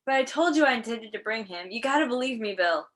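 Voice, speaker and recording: Woman doing worried small town wife in amateur play, highish voice, folksy n defensive. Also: lively intonation, quick pace, fairly bright tone. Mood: pleading, defensive. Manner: pleading, folksy. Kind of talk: amateur one-act play acting. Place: filmed on a video call.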